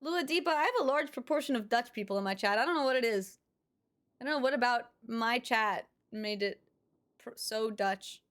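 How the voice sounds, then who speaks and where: A woman's voice: on the high side, normal speed, a bit bright. Chatty woman streamer reading her chat. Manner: chatty, musing, reads out chat. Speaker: livestreamer. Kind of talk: casual chat with viewers.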